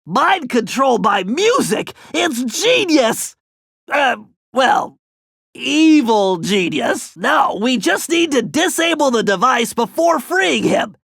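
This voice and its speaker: A man's voice: very high-pitched, big pitch swings, slow, creaky, a bit bright, breathy edge, no echo, studio quality, full character voice. An actor playing a brainy, anxious, nerdy male turtle tech whiz in a video game, with a nasal voice and a precise, pedantic, technical way of talking. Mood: earnest, explaining.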